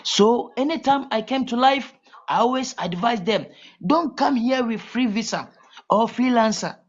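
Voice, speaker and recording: A man from Ghana with a Ghanaian accent, talking as a guest in a casual call-in interview, halting and repeating his words. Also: very high voice, animated. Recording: muffled lo-fi call audio.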